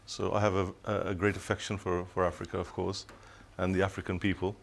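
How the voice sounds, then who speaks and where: Deep-voiced older man, reflective. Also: flat delivery, fast, creaky, a little hiss or hum. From Tanzania. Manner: reflective, hesitant, many restarts. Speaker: guest. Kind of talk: telethon studio interview. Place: studio.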